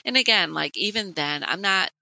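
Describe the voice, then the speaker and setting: A woman in her late forties co-hosting a podcast, telling personal stories in an animated, conversational way. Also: fairly low pitch, normal speed, vocal fry, dry recording, rough recording. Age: late 40s. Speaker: podcast co-host. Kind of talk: casual personal podcast chat.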